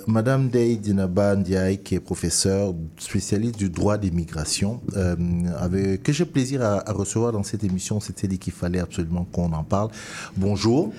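A man hosting a conversational interview, talking in an animated, digressive way and circling back to rephrase. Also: lowish voice, a little hiss or hum. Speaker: host interviewing a guest.

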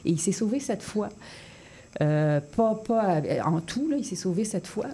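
A woman's voice: mid-range voice, expressive, moderate pace, dark tone, slightly rough. A woman from Quebec, a poet guest in a radio interview, talking hesitantly with many fillers and restarts. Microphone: studio microphone.

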